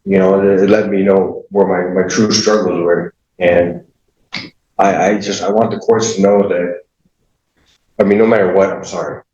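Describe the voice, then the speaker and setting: A man in his forties speaking as a defendant, making a halting, contrite statement in court. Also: low-pitched, fairly even intonation, mellow. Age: 40s. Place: echoey indoor courtroom. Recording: echoey, muffled, faint noise.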